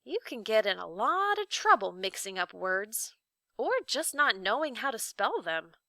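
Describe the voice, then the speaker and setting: Woman as young storybook boy, earnest, curious, bright-toned, plain-spoken, expressive. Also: fairly high pitch, unhurried, no echo, heavily acted. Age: child. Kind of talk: children's storybook read-aloud.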